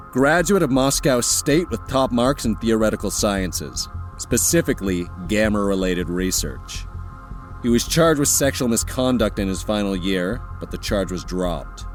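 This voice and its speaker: A man's voice: fairly low pitch, expressive, measured pace, faint music behind. An actor playing a male intelligence agent reading out a dossier in a factual briefing tone.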